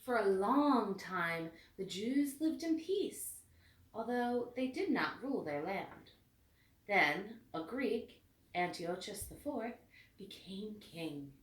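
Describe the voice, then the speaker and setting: A woman reading a storybook aloud with expressive, deliberate phrasing meant for children. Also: medium pitch. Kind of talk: read-aloud storybook narration. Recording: echoey room, distant mic.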